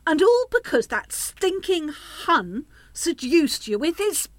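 A woman's voice: very high voice, sing-song, slow pace, no echo, exaggerated voice. A woman playing a bitter, harsh older widowed mother in a radio play. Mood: bitter, outraged.

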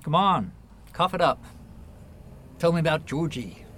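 An actor in a radio play voicing a guarded, wounded New York man with a measured, wry delivery. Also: on the high side, expressive, a bit bright. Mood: wry, prodding.

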